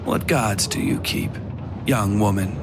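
A man voicing an ancient blind fortune-teller woman in a horror story, speaking slowly and formally in a hushed way. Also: on the low side, vocal fry, background noise. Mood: cryptic, calm. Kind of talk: horror audiobook character voice.

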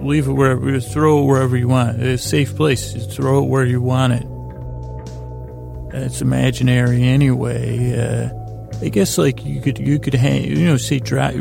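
A man with a creaky, gravelly voice hosting a sleep podcast, meandering and halting through a self-deprecating, soothing bedtime monologue to the listener. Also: mid-range voice.

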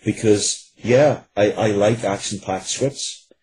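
A man's voice: lowish voice, slow, darkish tone, breathy edge, poor audio. A man with a Northern Irish accent talking earnestly and reflectively as a writer being interviewed. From Northern Ireland. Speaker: guest, writer. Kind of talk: conversational interview talk.

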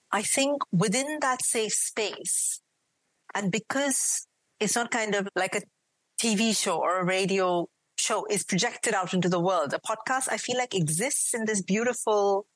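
A woman's voice: mid-range voice, a little slow, a bit bright, dry recording, poor audio. A woman from India with an Indian accent, speaking earnestly and fluidly as a podcaster guest in an interview. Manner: earnest, flowing, emphatic. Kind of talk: reflective interview conversation.

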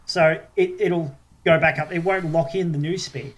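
Australian male co-host, slightly high voice, chatty explainer, conversational and repetitive phrasing. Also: fairly even intonation, normal speed. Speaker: podcast co-host. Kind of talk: casual chat.

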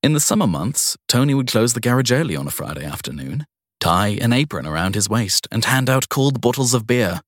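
Deep-voiced man narrating crime fiction, lively intonation. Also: slightly rough, dry recording, clean studio sound. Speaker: narrator of a short crime story. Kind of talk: scripted first-person audiobook narration.

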